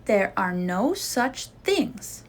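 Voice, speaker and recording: A woman's voice: medium pitch, big pitch swings, drawn-out words, full character voice. A woman voicing a sensible, confident female scout leader in a children's storybook, explaining with emphasis. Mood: confident, dismissive.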